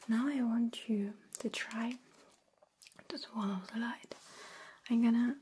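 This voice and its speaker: A woman whispering softly and reassuringly in an ASMR roleplay, playing a kind, caring alien examiner. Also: on the high side, steady tone, slight background noise. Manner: soft, slow, reassuring, with gentle repetition. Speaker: ASMR performer.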